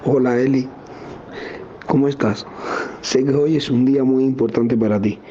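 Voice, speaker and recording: A man's voice: mid-range voice, steady tone, normal speed, natural voice. A man playing a tender, supportive loved one leaving an intimate voice message. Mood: tender, encouraging. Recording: dull recording with background noise.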